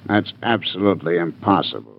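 A man's voice: low-pitched, expressive, a little fast, gravelly, full character voice. An actor playing a sneering, mocking villainous art collector who savors his words in a 1940s radio crime drama. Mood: intrigued, scoffing. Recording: dull old 1940s radio recording.

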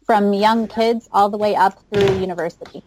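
A woman's voice: medium pitch, steady tone, normal speed, noisy background. An American woman in her thirties giving casual answers as an interview guest. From United States, north of Chicago. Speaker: guest, psychology professor.

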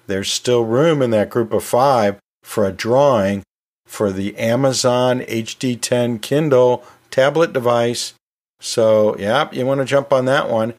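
A man hosting a podcast in a conversational, storytelling way, chatting informally with asides like a teacher talking to listeners. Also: mid-range voice. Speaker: podcast host; anatomy and physiology teacher.

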